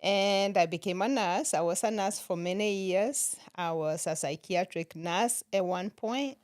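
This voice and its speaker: A middle-aged woman from Kenya, a nurse practitioner, talking as a podcast guest in a relaxed way and laughing often. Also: medium pitch, measured pace, dry recording, studio quality. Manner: laughs often while telling stories. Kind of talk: relaxed interview conversation.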